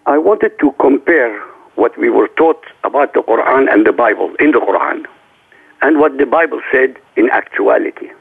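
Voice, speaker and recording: Middle-aged man from Iraq, native Arabic speaker, gravelly, insistent talk show guest on a phone-quality line. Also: mid-range voice, expressive, moderate pace. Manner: emphatic, lecturing.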